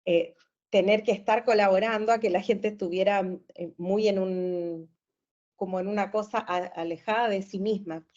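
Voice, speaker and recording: A Chilean woman in her forties, a therapist talking casually as a guest, cheerful and quick to laugh, with frequent fillers. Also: medium pitch, steady tone, a little mellow, dull audio. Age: 40s. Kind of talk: casual conversation. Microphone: video call.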